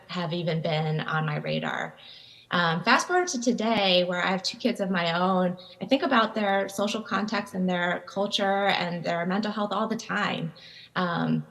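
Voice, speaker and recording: A woman's voice: lowish voice, gravelly, fairly bright tone, low-quality recording. A woman in her forties, from Taiwan, gives a conversational lecture over a video call from home, with frequent ums and restarts. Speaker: guest lecturer. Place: home room with bookshelves. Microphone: video call webcam.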